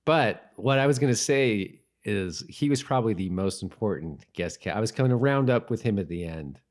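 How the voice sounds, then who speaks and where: A man with a low voice and lively, swinging intonation. He chats casually as a podcast co-host, often breaking off and rewording as he goes. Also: moderate pace. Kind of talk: casual rewatch podcast chat.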